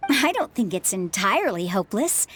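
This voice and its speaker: A woman's voice: mid-range voice, big pitch swings, moderate pace, fairly bright tone, a little hiss or hum. A woman voicing a thoughtful, optimistic female character in a video game, articulate and reassuring. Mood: thoughtful, hopeful.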